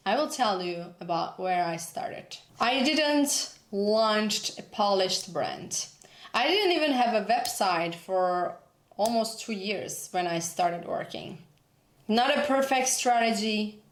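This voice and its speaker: Slow, earnest woman with a Turkish accent. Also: mid-range voice, echoey room. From Turkey. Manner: earnest, emphatic, repeats for emphasis. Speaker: personal branding coach. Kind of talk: motivational talk to camera.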